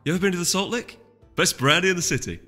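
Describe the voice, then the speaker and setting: A man's voice: high-pitched, quick pace, bright, forceful tone, pitched above the performer's own voice. A man voicing an amiable young male winged unicorn in a storybook reading, polite, placating and hesitant. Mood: friendly. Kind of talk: audiobook character voice. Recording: echoey hall reverb, music behind.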